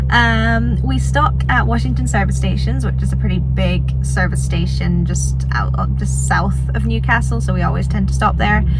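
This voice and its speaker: A woman's voice: mid-range voice, heavy background noise. Chatty, enthusiastic woman vlogger. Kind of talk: casual travel vlog narration to viewers.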